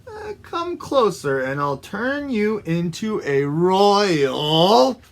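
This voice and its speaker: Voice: mid-range voice, big pitch swings, drawn-out words, exaggerated voice. A storyteller voicing a sly, smug storybook fox who lures listeners in like a conspirator. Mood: smug, luring. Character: sly scheming fox posing as magical helper.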